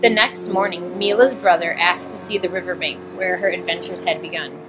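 Low-pitched woman reading a storybook. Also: steady tone, a little fast, over music, poor audio. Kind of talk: scripted children's storybook narration.

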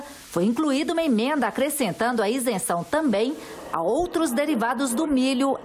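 A woman narrates a news report as a scripted voice-over. Her delivery is clear and formal, and her voice sits somewhat high.

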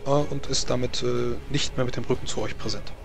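A man's voice: mid-range voice, steady tone, brisk, creaky. A man running a tabletop role-playing game as game master, narrating casually and hesitantly as he thinks aloud. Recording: music behind the voice. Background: music.

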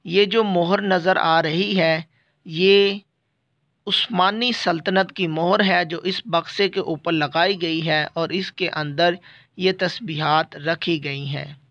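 A man with a Pakistani Urdu accent guiding a walking tour of a bazaar, explaining slowly and steadily while filming on a phone. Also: high voice, steady tone, fairly bright tone, no room sound, lo-fi sound. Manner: slow, measured guide-like explaining. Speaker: travel vlogger. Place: small shops in a bazaar, indoors and out.

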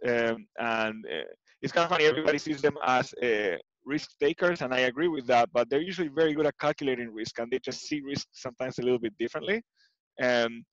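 A man in his forties with an Argentine accent, a native Spanish speaker, answering interview questions in a halting, searching way over a headset on a video call. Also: medium pitch, no room sound. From Buenos Aires, Argentina. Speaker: interview guest, tech executive. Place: living room at home, indoors. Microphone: headset with boom mic, video call. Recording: slightly muffled video-call audio.

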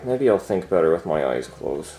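A man's voice: deep voice, very animated, moderate pace, low-quality recording. Whiny, hapless guy played by a man, swinging wildly. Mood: groggy, grumbling. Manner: whiny, blubbering, stammering. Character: hapless, guilt-ridden lazy man juggling too many jobs. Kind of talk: comedy skit acting.

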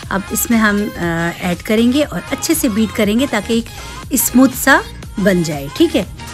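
A woman narrating cooking steps in an unhurried, explanatory way. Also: mid-range voice. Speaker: cooking vlogger. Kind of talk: cooking voice-over.

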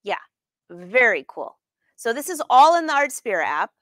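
A woman's voice: on the high side, big pitch swings, moderate pace, fairly bright tone, dry recording, studio quality. A middle-aged woman hosting a casual live craft demonstration, chatting informally and putting questions to her viewers. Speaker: host of a live sewing lesson. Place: sewing studio room, indoors.

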